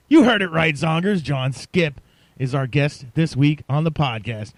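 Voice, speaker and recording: A man's voice: a little high, no room sound. A man hosting a podcast in an effusive, showman-like manner, speaking directly to his listeners. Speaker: podcast host. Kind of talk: enthusiastic podcast introduction and interview.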